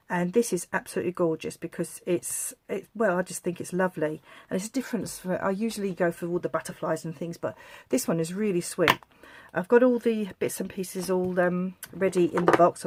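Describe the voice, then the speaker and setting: Female craft presenter, slightly low voice, coming down with a cold, chatty and meandering, casual how-to delivery. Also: background noise. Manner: chatty and rambling, corrects herself mid-sentence. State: has a head cold coming on. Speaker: craft tutorial host. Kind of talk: casual step-by-step craft demonstration.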